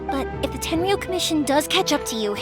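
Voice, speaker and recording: A woman's voice: high voice, expressive, a little fast, loud music behind the voice. A woman voicing a bubbly, caring young fireworks maker in an anime video game, impulsive and reassuring. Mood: concerned, resourceful. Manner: bright-spirited, impulsive, reassuring.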